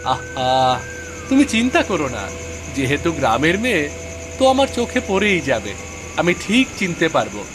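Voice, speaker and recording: A man's voice: a little high, sing-song, normal speed, long pauses, noisy background. A man voicing a stubborn, eager young man in a Bengali cartoon story. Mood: breezy, stubborn.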